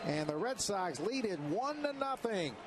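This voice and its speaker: A man calls baseball live on the radio in a very high-pitched voice. His phrases are clipped and build into long, drawn-out calls. Loud noise runs behind him throughout the broadcast. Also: big pitch swings. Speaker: baseball radio play-by-play announcer. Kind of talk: live radio sports commentary. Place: ballpark broadcast booth. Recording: very noisy ballpark radio broadcast.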